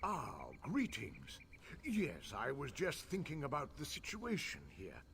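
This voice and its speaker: An actor playing a scholarly, impatient fantasy-game mage who muses pedantically and talks down to people. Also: male voice, on the high side, wildly swooping pitch, slow, creaky, fairly bright tone, slight background noise, exaggerated voice. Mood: musing. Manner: pedantic, musing, condescending. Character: scholarly elf mage, fascinated by spirits, impatient. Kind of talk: video game character acting.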